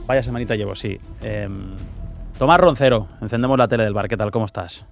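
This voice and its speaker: A man's voice: on the low side, a little fast, creaky, soft background music. A man hosting a sports talk show, presenting guests and reading out social media posts. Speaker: radio show host.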